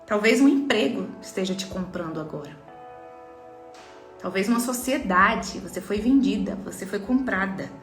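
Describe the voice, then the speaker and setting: A Brazilian-accented woman of middling pitch gives an earnest, emphatic faith talk as a mentor. She speaks straight to her listeners. Her voice rings in an echoey room with soft music underneath. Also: animated, normal speed. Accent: Brazilian Portuguese.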